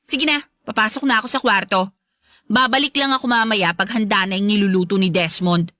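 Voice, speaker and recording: A woman's voice: mid-range voice, a little fast, bright, forceful tone, no room sound, studio quality, lightly acted. A woman playing a haughty young stepmother in a Filipino radio drama, curt and sarcastic, syrupy when she feigns affection. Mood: haughty, hostile. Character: haughty, hostile young stepmother, sweet only to her husband.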